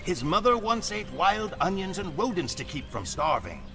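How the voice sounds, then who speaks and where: A proud steppe warrior telling his Khan's story for a strategy game cutscene. His somewhat high male voice rises and falls dramatically, savouring every grim phrase with solemn theatricality. Music plays underneath. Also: very animated, normal speed. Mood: solemn, reverent. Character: proud, grim Mongol horse warrior chronicling his Khan. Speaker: campaign narrator.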